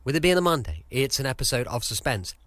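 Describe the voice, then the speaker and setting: A man's voice: mid-range voice, very animated, quick pace, a bit bright, no echo. A British man hosting a podcast, chatting casually and informally in a sing-song way. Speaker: podcast host.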